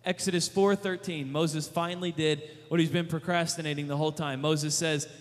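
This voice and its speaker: A man delivers a sermon with emphatic, repeated rhetorical questions. His voice sits high for a man. The sound rings with the long reverb of a large hall. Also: fairly even intonation, a little fast. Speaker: youth preacher.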